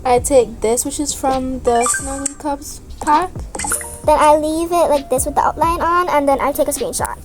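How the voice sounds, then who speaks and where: A woman's voice: high-pitched, fairly even intonation, normal speed. A young woman giving a casual step-by-step tutorial voice-over as the host of her own video. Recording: voice over background music. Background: background music.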